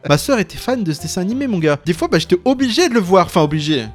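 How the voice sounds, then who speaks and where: A young man hosting a casual chat and ranking cartoons, expressive, slangy and playfully exclaiming. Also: high-pitched, fast talker, faint music behind. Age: young adult. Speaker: host of a video channel.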